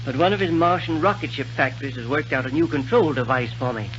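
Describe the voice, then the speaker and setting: A man's voice: medium pitch, noisy background, close to an everyday voice. Man playing an eccentric old scientist, dated radio sound. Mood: genial. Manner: halting, chuckling, eager explanations. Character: genial, eccentric old scientist inventor of the future. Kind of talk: 1930s radio serial acting. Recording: dull 1930s radio recording with music.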